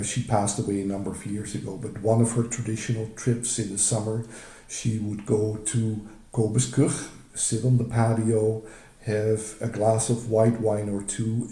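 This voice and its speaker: An older man with a Dutch accent presents his travel photos on a video call, speaking haltingly with many fillers and restarts. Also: fairly low pitch, warm tone, echoey room. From Netherlands. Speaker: retired emergency physician presenting travel photos.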